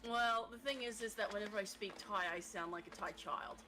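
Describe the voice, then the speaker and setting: A woman chatting casually on a stream, rambling and halting, dropping in Japanese phrases. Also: medium pitch, noisy background. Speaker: co-streamer guest. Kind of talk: casual chat.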